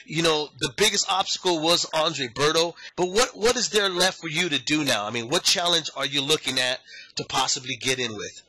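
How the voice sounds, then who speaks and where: A man's voice: a little high, moderate pace, bright, forceful tone, dry recording, low-quality recording. A man asking interview questions in a brief, conversational way.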